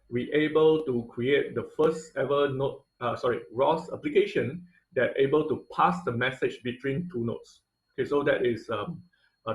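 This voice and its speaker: A man's voice: mid-range voice, roomy sound, rough recording. A man teaching an online robotics class over slides, with many hesitant fillers in his speech.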